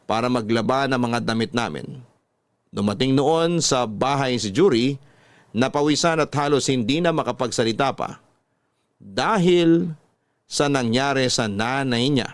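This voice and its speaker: A man's voice: medium pitch, dry recording. A man with a Filipino accent reading a dramatic first-person story as a radio storyteller.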